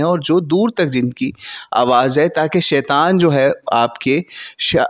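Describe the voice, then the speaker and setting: A man who cites scripture by number and argues a religious point in an earnest, lecturing way. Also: mid-range voice. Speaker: religious commentator. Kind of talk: argumentative religious rebuttal.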